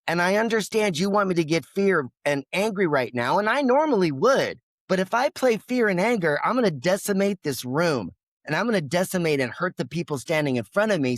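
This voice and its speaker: A man's voice: high-pitched, fairly bright tone, no echo, studio quality. A man who is a podcast guest, speaking in an emphatic, passionate way and now and then acting out his own inner voice. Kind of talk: energetic interview talk.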